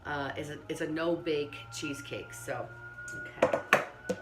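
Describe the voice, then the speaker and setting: A hoarse middle-aged woman with laryngitis, talking quietly and partly whispering as she demonstrates a recipe in her home kitchen. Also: sits low, fairly even intonation, normal speed, over loud music. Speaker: home cooking host. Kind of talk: casual cooking demonstration.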